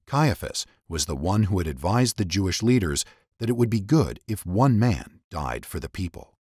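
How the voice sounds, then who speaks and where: A man reads scripture as a dramatic narrator. His low voice swings widely in pitch as he sets each phrase apart in measured storytelling. The recording is close and dry. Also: big pitch swings, a little mellow, breathy edge, no echo. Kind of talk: dramatic scripted Bible reading.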